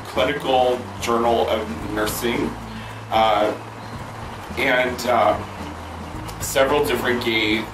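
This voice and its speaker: A hoarse, low-voiced man chats casually as a vlog host, rambling and circling back on himself with lots of fillers. He sounds a little off the mic in a roomy space. Loud music plays clearly behind him. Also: distant mic. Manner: rambling, many fillers and restarts. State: hoarse, voice worn out. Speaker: vlog host answering viewer questions. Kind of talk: casual chat. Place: outdoors near a pool with loud music.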